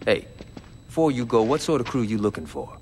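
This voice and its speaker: A man's voice: medium pitch, very animated, a little fast, heavy background noise, natural voice. A man voicing a brash, trigger-happy, wisecracking gangster sidekick in a video game, using sardonic, profane street slang. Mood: irritated, brash. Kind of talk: video game cutscene acting.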